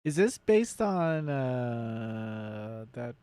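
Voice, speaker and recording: Male gaming streamer, medium pitch, nerdy and casual, rambling live commentary with loose swearing, very dry sound. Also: very animated, drawn-out words, mellow, no room sound. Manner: self-described nerdy enthusiast. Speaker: game streamer hosting a let's play.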